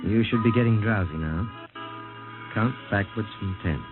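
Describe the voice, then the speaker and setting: An actor playing a calm, clinical doctor who speaks in a measured, authoritative way, in a 1950s radio drama acting style, heard through a tinny old radio broadcast. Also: male voice, fairly low pitch, over loud music, lightly acted. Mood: hypnotic. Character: calm, clinical middle-aged male doctor.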